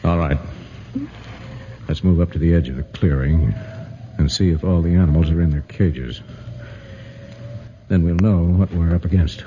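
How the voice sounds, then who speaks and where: An actor playing a steady, commanding newspaper editor in a 1940s radio drama, speaking in urgent, clipped orders. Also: male voice, low voice, moderate pace, pauses often, lightly acted. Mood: hushed, watchful. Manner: urgent, clipped, takes charge. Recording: dull noisy 1940s radio recording.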